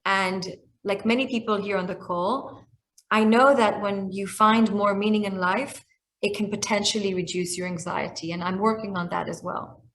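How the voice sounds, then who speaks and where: A woman's voice: medium pitch, fairly even intonation, moderate pace, a little hiss or hum. Reflective woman in her forties. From Israel. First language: Hebrew. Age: 40s. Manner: reflective, many restarts and fillers. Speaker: guest speaker. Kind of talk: video call conversation. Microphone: video call.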